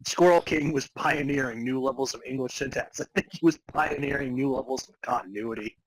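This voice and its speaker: A man's voice: medium pitch, fairly quick, a little hiss or hum, poor audio. A man co-hosting a comedy podcast, reading aloud theatrically and chatting casually, often amused. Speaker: podcast co-host. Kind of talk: dramatic reading of fan fiction and casual banter.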